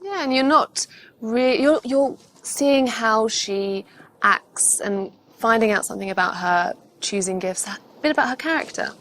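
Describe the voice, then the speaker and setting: A woman's voice: a little high, lively intonation, a little slow, fairly bright tone. A woman co-presenting, giving casual explanatory commentary in a conversational way.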